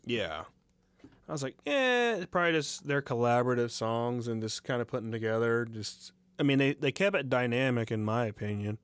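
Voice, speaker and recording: A man's voice: medium pitch, animated, moderate pace, clear, resonant tone, no room sound. A young man as a podcast guest, talking in a laid-back way and trailing off. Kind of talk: casual chat.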